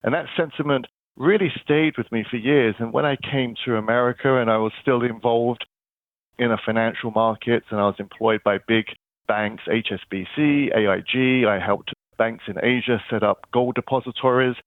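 A man's voice: medium pitch, fairly even intonation, moderate pace, dry recording. Guest: London-accented man, earnest and chatty, heard over a thin, dry phone line. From England. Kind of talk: phone interview.

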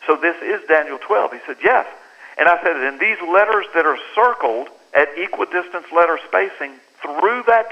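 Guest author, American man, slightly high voice, lively storyteller on a dull phone line. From United States. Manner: animated storyteller who acts out quoted dialogue. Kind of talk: talk radio interview, storytelling.

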